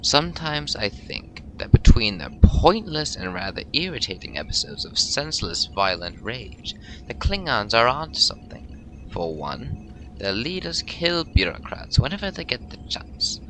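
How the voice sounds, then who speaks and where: A man's voice: medium pitch, sing-song, a little slow, pushed and bright, slight background noise, low-quality recording. A man playing an aloof, insolent feline alien officer in an audio drama, with precise, formal and wry wording. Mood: wry disdain. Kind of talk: fan audio drama acting.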